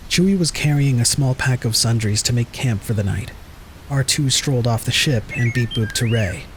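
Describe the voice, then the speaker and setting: A man's voice: mid-range voice, normal speed, noisy background. A man reading scripted dramatic narration as the narrator of an audio story.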